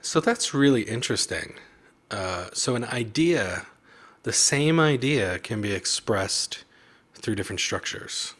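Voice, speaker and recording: A man's voice: lowish voice, expressive, unhurried, fairly bright tone. Casual, joking man hosting a chat. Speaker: host of a chat show. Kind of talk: casual video chat interview.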